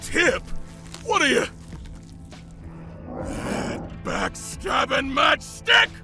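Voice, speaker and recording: Hulking hot-tempered alligator thief, slow crude delivery, high-pitched for a man, audio drama with music bed. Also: big pitch swings, creaky, heavily acted. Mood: outraged. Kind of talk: audio drama character acting. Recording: music under the voice.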